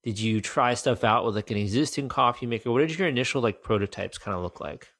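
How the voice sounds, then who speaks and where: A man's voice: medium pitch, fast talker, a bit bright. A man hosting a podcast, asking casual, curious interview questions. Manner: casual, curious, uses "like" a lot. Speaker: podcast host.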